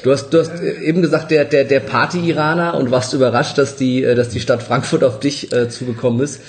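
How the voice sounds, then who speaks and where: A man's voice: medium pitch, fairly even intonation, brisk. A man with a mid-range voice hosting a casual interview. He sounds eager and full of praise, tripping over and repeating words as he shapes his questions. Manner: enthusiastic, repeats words, stumbles. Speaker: interviewer, podcast host.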